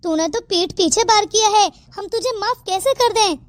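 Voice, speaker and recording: A woman's voice: very high voice, normal speed, no room sound. A woman voicing a stern, indignant mother in a theatrical, scolding style for a Hindi family cartoon. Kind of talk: Hindi moral-story cartoon dialogue.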